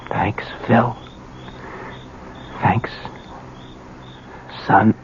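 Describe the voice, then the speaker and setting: A gravelly, dying ex-convict father. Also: fairly low pitch, steady tone, very slow. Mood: fading whisper. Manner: rough uneducated street speech, terse. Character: weak, dying ex-convict father, rough and remorseful. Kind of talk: old-time radio drama acting. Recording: dull, noisy old radio broadcast.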